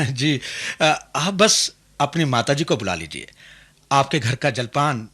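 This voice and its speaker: An actor playing a polite, humble visiting minister in a radio drama, formal and slightly hesitant. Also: male voice, mid-range voice, sing-song, takes it slowly, a bit bright, slightly rough, full character voice. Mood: humbly polite. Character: deferential, polite visiting minister seeking a match. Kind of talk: Hindi radio drama acting.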